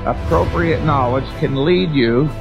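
A man lecturing in a deliberate, formal and wordy way about a logical approach to training. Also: a little high, vocal fry. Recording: speech over loud music. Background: music.

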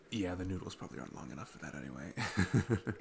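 Man acting as a deep-voiced, nervous single dad. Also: creaky, exaggerated voice. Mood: playful rambling. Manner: hesitant, rambling, self-deprecating, chuckling between phrases. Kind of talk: intimate ASMR roleplay, casual chat.

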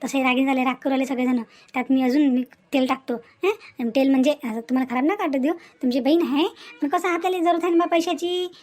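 A woman's voice: high-pitched, steady tone, fast, hardly any pauses, darkish tone, natural voice. A woman with a Vidarbha Marathi accent playing a greedy, bossy young daughter-in-law in a cartoon family drama, wheedling and insistent. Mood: scheming, insistent. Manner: insistent, wheedling, punctuated with questioning grunts.

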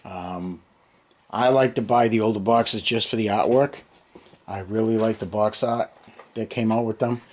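A man's voice: on the low side, steady tone, measured pace. An American man in his fifties chatting casually to camera in an unscripted way, thinking aloud in short muttered bursts in a basement hobby room. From United States. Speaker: hobby vlogger. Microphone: handheld camera near arm's length.

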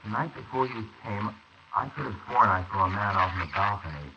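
An actor playing an anxious, idealistic struggling young artist in a 1940s radio play, jittery and brooding, with halting delivery. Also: male voice, on the low side, expressive, bright, forceful tone. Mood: jittery, fearful. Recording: noisy, muffled 1940s wire recording.

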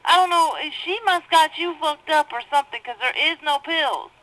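A woman's voice: high voice, normal speed, pushed and bright, low-quality recording. A woman calling from jail on the phone, agitated and heavily profane, arguing with her mother over her court case. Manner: agitated, profane, talks over the other speaker. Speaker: inmate on a jail phone call. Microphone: jail telephone.

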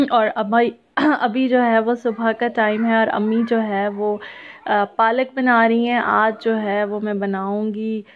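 A woman in her thirties with a Pakistani accent, sounding sad as she gives a casual, halting vlog voice-over sprinkled with English words. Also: a little high, level tone, a little hiss or hum. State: sad, downcast. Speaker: family vlogger. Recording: muffled phone-like narrow-band sound.

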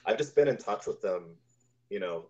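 Low-voiced man, muffled video-call sound. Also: animated, moderate pace, a little mellow. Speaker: guest, composer. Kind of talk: casual interview storytelling. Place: room at home, indoors, on a video call. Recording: dull, lo-fi video-call audio.

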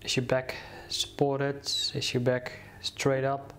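A man leading a guided meditation, speaking slowly and soothingly with many pauses and restarts. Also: medium pitch, monotone, a bit bright, a little hiss or hum.

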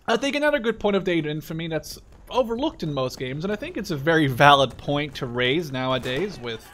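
A man's voice: a little high, expressive, fairly quick. A young man giving casual gameplay commentary, rambling, sweary and full of opinions. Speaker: gaming video creator giving live commentary. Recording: loud machine-gun game noise behind voice. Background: gunfire and clicks from a war game.

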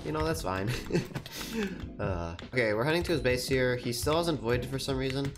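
A man's voice: medium pitch, noisy background. A teenage boy with a stuffy nose from a cold, chatting casually and cheerfully while commentating his gameplay at a desk microphone. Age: teens. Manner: chatty, upbeat, quick laughs. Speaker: gaming YouTuber. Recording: music playing under the voice. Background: background music and keyboard typing.

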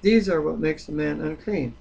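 Male, husky and fairly high-pitched, informal Bible reader, slightly halting. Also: a little mellow, lo-fi sound. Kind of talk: Bible reading aloud with informal discussion.

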